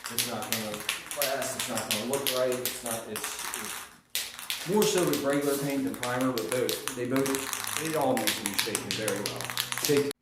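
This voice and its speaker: A soft-spoken man with a slight sniffle walks through a repair job in casual, rambling how-to talk, often correcting himself mid-sentence. His voice sits some way from the microphone in a very echoey room, and steady noise runs under it. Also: mid-range voice, moderate pace. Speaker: DIY restoration vlogger. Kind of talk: casual how-to narration while working. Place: living room of a house, working on a frame. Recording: echoey room, far from mic, noisy.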